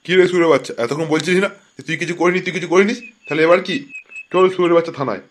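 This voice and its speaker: A man's voice: a little high, racing, lightly acted. A man voicing brash, bullying cartoon rogues, crooked cops and jailbirds, in crude hectoring slang. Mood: threatening. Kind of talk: fast comic cartoon dialogue in colloquial Bengali slang.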